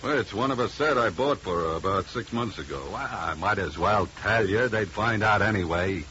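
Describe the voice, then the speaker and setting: A cagey, tough American oil man in a vintage radio mystery. His deep voice is hard and forceful, with a rueful edge to the gruff talk. The recording is dull and hissy. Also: moderate pace. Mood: rueful, resigned. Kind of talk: 1950s radio drama acting. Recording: noisy, muffled old radio recording.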